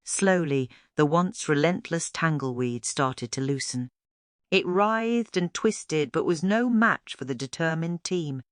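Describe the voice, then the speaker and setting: A woman's voice: sits low, clear, resonant tone, dry recording, clean studio sound. A woman reading a scripted children's story aloud as its storyteller.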